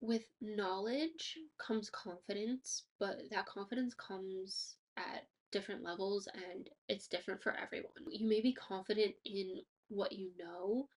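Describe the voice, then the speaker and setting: A woman's voice: medium pitch, steady tone, measured pace, hardly any pauses. A woman hosting a personal finance podcast, sounding a bit stuffy and nasal from allergies, upbeat and motivational with casual fillers. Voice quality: slightly nasal. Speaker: podcast host. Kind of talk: scripted podcast monologue.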